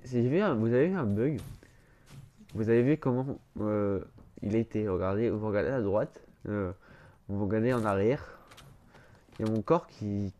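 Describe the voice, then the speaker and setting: A man's voice: on the low side, dark tone. A man with a blocked, stuffy nose giving casual gameplay commentary, rambling and hesitant, full of fillers and restarts. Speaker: gaming YouTuber.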